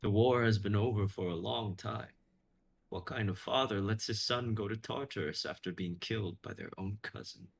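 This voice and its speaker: A man playing an earnest, brotherly mythic hero with a husky voice in a live script reading. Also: on the low side, normal speed.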